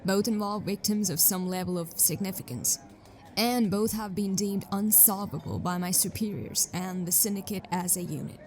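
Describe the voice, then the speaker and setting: A woman's voice: mid-range voice, normal speed, a little mellow, slight background noise, close to an everyday voice. A woman playing an impatient, businesslike detective with a dry, curt manner in a sci-fi audio drama.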